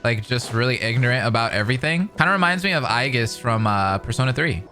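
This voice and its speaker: Bright, animated young woman streamer, low-pitched. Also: quick pace, faint music behind. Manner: teasing, animated, slangy. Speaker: gaming streamer. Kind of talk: casual live game reaction commentary.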